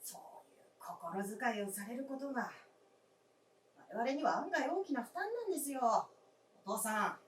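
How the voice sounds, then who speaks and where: A woman's voice: high-pitched, expressive, normal speed, pauses often, far from the mic, a little hiss or hum. A woman voicing an earnest, persistent adult son in a radio drama, with polite, formal insistence. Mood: polite, resigned. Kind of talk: live radio drama acting. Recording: roomy stage recording from afar.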